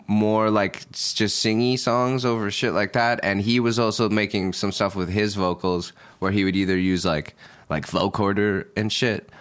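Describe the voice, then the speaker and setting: A young man in casual chat as a guest, rambling with lots of fillers and restarts, laid-back and swearing freely. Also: on the low side. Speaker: rapper guest.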